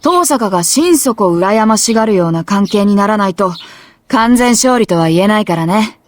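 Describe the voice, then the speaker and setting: A woman playing a bold, competitive, tomboyish high school girl in an anime visual novel, with a brash, casual, confident manner. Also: expressive, fast, close to an everyday voice. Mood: teasing, cocky.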